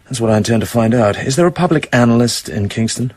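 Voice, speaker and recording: An actor playing a suave British secret agent in a radio drama, with clipped, terse delivery. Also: male voice, medium pitch, animated, fast, no room sound, natural voice. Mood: cool, businesslike. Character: suave British male secret agent narrating his own danger. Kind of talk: radio drama acting, terse first-person narration. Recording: music behind the voice.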